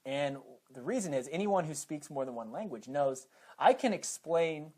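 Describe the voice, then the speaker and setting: A man's voice: on the high side, lively intonation, moderate pace, darkish tone, slightly rough. A man with an American accent, a teacher being interviewed, who explains things step by step with examples. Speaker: high school teacher and program coordinator, interview guest.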